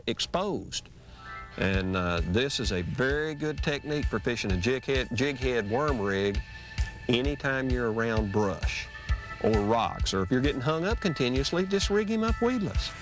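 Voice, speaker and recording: A middle-aged man, hosting a fishing show outdoors by a lake. He explains fishing techniques in an easygoing, folksy way. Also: medium pitch. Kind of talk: instructional fishing demonstration. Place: outdoors on a lake, on a boat and on the shore.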